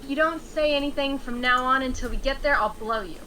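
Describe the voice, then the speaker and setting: A woman's voice: sits high, fairly quick, a crowd in the background, close to an everyday voice, poor audio. A woman acting a bold, short-tempered female gangster with a defiant manner. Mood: firm. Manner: sharp, defiant. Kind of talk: script table read.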